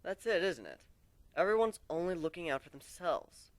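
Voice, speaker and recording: A man's voice: fairly high pitch, sing-song, moderate pace, no echo, pitched above the performer's own voice. A man voicing a brash, frank young griffin warrior in a dramatic story reading. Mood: determined, emphatic.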